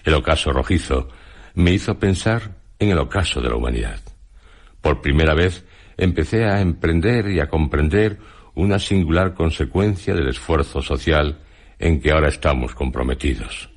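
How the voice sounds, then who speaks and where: An actor playing a reflective, grave male time traveller, in a measured, solemn radio monologue. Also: extremely low pitch, breathy edge, heavily acted. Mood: solemn, musing. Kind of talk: dramatized literary monologue in a radio programme.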